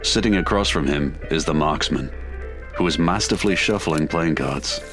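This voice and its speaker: A man's voice: very deep voice, moderate pace. A man narrating a scripted drama, reading scene descriptions as a storyteller. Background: loud music.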